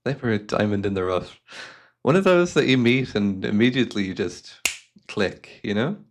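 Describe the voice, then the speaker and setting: A man's voice: on the low side, animated, a little hiss or hum, natural voice. A man playing a courteous, devious hypnotist who speaks in a formal, soothing, theatrical way, as in an ASMR roleplay. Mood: nostalgic, savoring. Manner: suave, formal, unhurried, theatrical. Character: devious, courteous male hypnotist guiding a client.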